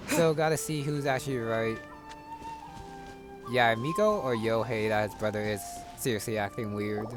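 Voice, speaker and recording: A man's voice: medium pitch, moderate pace. A man giving casual let's play commentary as he plays a game. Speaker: gamer playing and commenting. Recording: game music behind.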